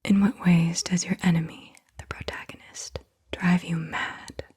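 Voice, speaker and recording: A woman speaks in a low, extremely breathy whisper as an ASMR host. Her delivery is soft and deliberate, with hardly any clear voicing. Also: flat delivery. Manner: soft-spoken, deliberate questioning.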